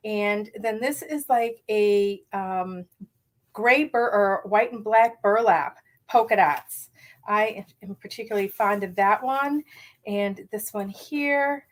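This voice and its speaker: A middle-aged woman with a slightly raspy morning voice leads a casual live card-making tutorial, chatty and enthusiastic with many ums. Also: a little high.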